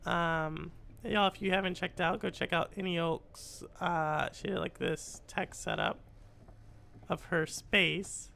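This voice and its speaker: A streamer with a raspy, congested voice, chatting with viewers and muttering aloud while playing a game live. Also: medium pitch, slow pace, pushed and bright. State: congested from a cold. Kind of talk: live gameplay stream with chat.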